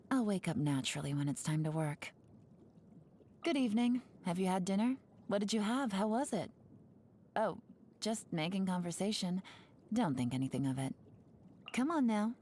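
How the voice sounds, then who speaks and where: A woman voicing a sly, laid-back young woman spy for a video game, speaking in a smooth, teasing, unhurried way. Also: medium pitch, animated, dark tone, slight background noise, lightly acted. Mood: lazy, drowsy, then playful, casual. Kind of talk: video game character voice lines.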